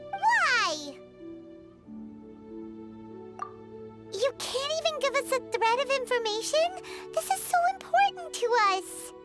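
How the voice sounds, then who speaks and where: An actress voicing a tiny, chatty and excitable girl companion in a video game, pleading and eager. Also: a little slow, exaggerated voice. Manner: squeaky, pleading, talks about herself in third person. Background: soft game music.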